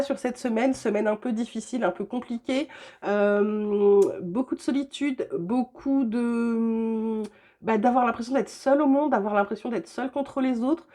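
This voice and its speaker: An older woman with a head cold gives her weekly tarot guidance from home. She talks in a chatty, reassuring way and often pauses with little hesitations. Her voice sits at an ordinary middle pitch for a woman. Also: dark tone. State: has a cold. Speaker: tarot reader.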